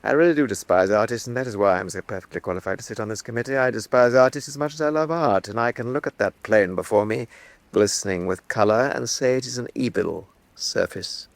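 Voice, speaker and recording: An actor playing a contemptuous, moralising state inquisitor in a historical radio drama, with a haughty, rhetorical manner. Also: male voice, on the low side, quick pace, gravelly, no echo. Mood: scornful.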